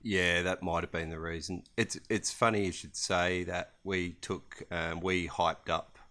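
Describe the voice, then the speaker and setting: A low-pitched man with a bright, forward tone co-hosts a casual podcast. He talks in a hesitant, understated way, feeling out his sentences as he goes. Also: level tone, a little slow. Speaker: podcast co-host. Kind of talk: casual chat.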